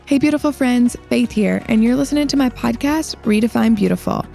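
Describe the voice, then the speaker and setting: A young woman with a light Southern accent hosting a casual podcast, upbeat and quick to laugh. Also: on the high side, vocal fry, dark tone, soft background music. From Illinois. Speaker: podcast host.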